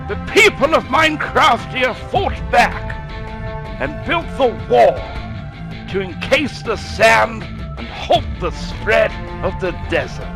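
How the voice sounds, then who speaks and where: A very high-voiced, solemn fantasy storyteller, acted out by a man. The delivery is slow and grave, declaiming old legends with dramatic swoops, over clearly heard music. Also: exaggerated voice. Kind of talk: scripted fantasy story narration.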